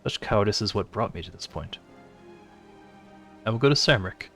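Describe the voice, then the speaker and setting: A man acts out a young fantasy game lord full of self-doubt. He speaks earnestly and dramatically in a fairly low, creaky voice over music. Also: lively intonation, moderate pace, a bit bright. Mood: anguished, resolute.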